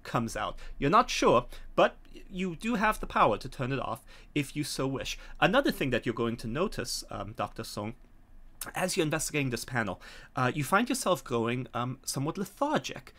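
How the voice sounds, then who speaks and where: Middle aged guy game mastering a horror rpg over video call, medium voice, kinda halting and deliberate. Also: mid-range voice, sing-song, a bit bright. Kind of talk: narrating a tabletop horror game. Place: home room on a video call.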